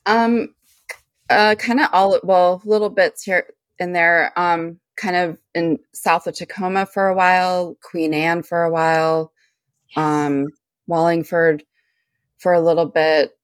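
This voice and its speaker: A middle-aged woman co-hosting a podcast, talking in a relaxed, meandering way with lots of fillers. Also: fairly low pitch, takes it slowly, no echo. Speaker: podcast co-host. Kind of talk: casual chat.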